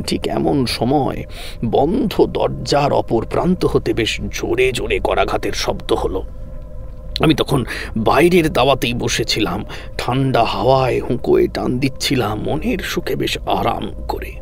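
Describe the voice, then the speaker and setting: A man narrating a horror audio story in the first person with measured, suspenseful, dramatic delivery. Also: mid-range voice, vocal fry. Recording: music under the voice. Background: background music.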